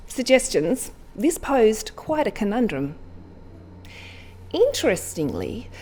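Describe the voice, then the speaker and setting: A woman reading a whimsical short story aloud at a live event, expressive and deliberate, with dramatic pauses. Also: a little high. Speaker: story reader.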